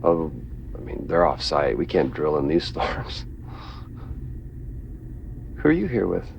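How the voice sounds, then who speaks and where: An actor playing a nervous, volatile drill worker in a video game, stammering and cajoling in an unhinged way. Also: male voice, very deep voice, sing-song, a little slow, gravelly, dull audio, slight background noise, exaggerated voice. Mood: nervous, awkward. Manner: stammering, cajoling, swinging into outbursts. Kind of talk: video game dramatic acting.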